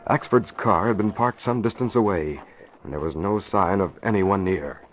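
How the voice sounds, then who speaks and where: Low-voiced vintage radio narrator played by a man. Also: moderate pace, close to an everyday voice. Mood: sober, suspenseful. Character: sober male radio mystery narrator. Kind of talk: 1940s radio scripted narration. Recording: muffled vintage radio broadcast. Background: music.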